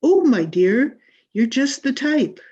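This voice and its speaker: Through a dull video-call recording comes a grandiose female drama coach, acted by a woman. She drags out her emphatic instructions in a theatrical radio-comedy manner, with a husky voice that swings widely in pitch. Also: big pitch swings, warm tone, full character voice. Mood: brisk, instructive. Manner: theatrical, emphatic, drawn-out delivery. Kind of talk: 1940s radio comedy acting.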